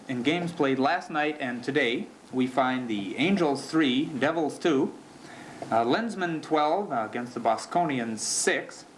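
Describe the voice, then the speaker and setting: Man playing a deadpan sportscaster, faint noise. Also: on the high side. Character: straight-faced sportscaster on a futuristic comic newscast. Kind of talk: scripted parody sports news. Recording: noisy amateur 1970s recording.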